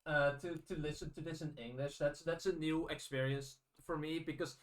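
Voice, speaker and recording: A man's voice: fairly high pitch, fairly even intonation, moderate pace. A native Dutch man speaking English as a podcast host, casual and enthusiastic.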